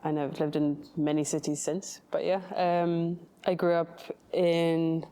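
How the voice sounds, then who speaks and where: A woman from Norway, an artist, calmly answering interview questions about her work. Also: deep voice, a little slow, clean tone.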